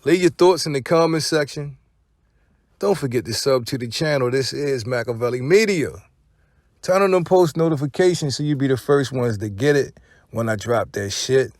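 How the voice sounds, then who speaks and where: A man's voice: medium pitch, moderate pace, darkish tone, no room sound, clean studio sound. An American man hosting casual gossip commentary, speaking in profane slang and posing rhetorical questions. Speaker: commentary channel host.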